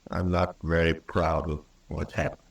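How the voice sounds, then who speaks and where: Western townsman, American, low voice, folksy and stubborn, vintage radio style, distant roomy sound. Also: little pitch movement, normal speed, creaky. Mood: remorseful, hesitant. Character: stubborn, plain-spoken frontier townsman with an uneasy conscience. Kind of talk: old-time radio western acting.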